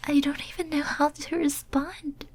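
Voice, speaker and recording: A woman's voice: high-pitched, flat delivery, voice pushed up, natural voice. A woman playing a lonely, devoted, possessive female demon in an ASMR roleplay, whispering tenderly and intimately. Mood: overjoyed. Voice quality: soft breathy whisper. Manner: tender, clingy, intimate, slow and halting.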